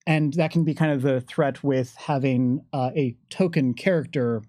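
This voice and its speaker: A man speaking as a game designer in a panel discussion, thoughtful and somewhat halting. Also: mid-range voice, a little mellow, no echo, studio quality.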